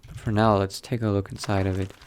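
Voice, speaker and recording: A man doing an in-character game roleplay, reading aloud in a measured, bookish way and muttering asides to himself. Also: fairly low pitch, level tone, a little hiss or hum. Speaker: roleplay gamer narrating in character.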